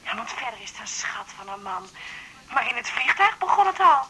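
High-voiced, flustered middle-aged mother. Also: big pitch swings, fairly quick, sharp tone, slightly rough, full character voice, poor audio. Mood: flustered, embarrassed. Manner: hesitant, chatty, flustered. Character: flustered old-fashioned mother, bashful and guilt-ridden. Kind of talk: 1980s Dutch radio drama acting.

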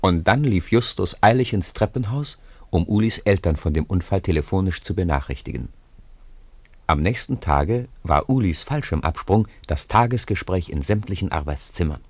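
Deep-voiced, expressive male narrator. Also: moderate pace, no room sound. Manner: expressive storytelling with lively intonation. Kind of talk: scripted narration for a 1950s radio play.

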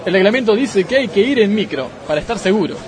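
An actor with an Argentine accent playing a pompous, hapless, exasperated football club president in a comic radio sketch, formal and pleading. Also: male voice, sits high, lively intonation, quick pace, a faint crowd.

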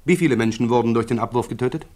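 A man playing a sharp, sceptical prosecutor who interrogates a witness with probing, sardonic insistence in a 1960s German radio play. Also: medium pitch, racing, lightly acted. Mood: pressing, sceptical.

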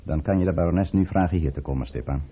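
An actor playing a coolly calculating count and conspirator in a radio drama, speaking formally and deliberately with authority. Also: male voice, low-pitched, slightly rough. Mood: commanding. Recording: muffled old radio play recording.

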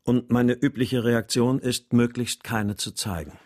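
An actor playing a melancholy husband and writer who narrates a radio crime drama in a restrained, introspective way. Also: male voice, medium pitch, steady tone, a little slow, background noise, lightly acted. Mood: restrained, brooding. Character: melancholy husband and writer narrating his missing daughter's story.

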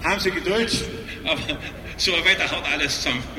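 A Bavarian man announces live outdoors, his voice pitched high and improvising as he goes. He is distant from the microphone, with a long reverberant echo and clear noise around him. He rambles colloquially and corrects himself. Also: fast, crowd noise. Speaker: announcer at an outdoor carnival event. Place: outdoors in a cold city space. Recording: echoey outdoor PA, distant, noisy.

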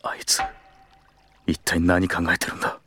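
A man acting a protective, hot-tempered senior colleague in an audio drama, firm and formal in confrontation, otherwise blunt and rough. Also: low voice, completely flat delivery, normal speed, sharp tone, no room sound. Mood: indignant, protective.

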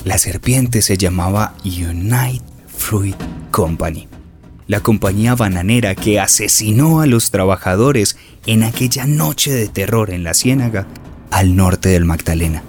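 A man narrates a radio serial in a fairly low voice. His delivery is grave and dramatic, over a clear music bed. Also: measured pace, darkish tone.

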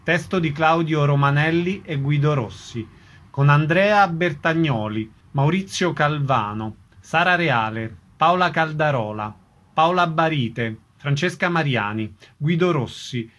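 A man working as a radio host. His voice sits a little high for a man, and he delivers scripted introductions and interview questions. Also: a little slow, fairly bright tone.

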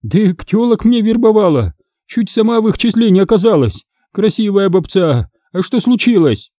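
Man voicing a wailing older woman, pitched way up. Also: sing-song, normal speed, warm tone, dry recording, studio quality. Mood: flustered, submissive. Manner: wailing, pleading, flustered. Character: frightened whining older woman, coarse wheedling crook.

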